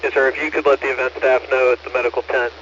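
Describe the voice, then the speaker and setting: A man's voice: medium pitch, little pitch movement, rapid-fire. An American man working as radio net control, matter-of-fact and courteous, reading traffic back over a two-way radio. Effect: two-way amateur radio transmission. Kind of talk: procedural two-way radio traffic with read-backs. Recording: muffled noisy two-way radio audio.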